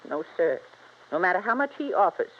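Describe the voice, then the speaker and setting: Feisty old frontier widow, rural American. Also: female voice, on the low side, very animated, a little fast, husky. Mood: confiding. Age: older. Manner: folksy, bossy, blunt. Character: feisty, stubborn old frontier widow guarding her mine. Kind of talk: 1950s radio drama acting. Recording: muffled, noisy vintage radio recording.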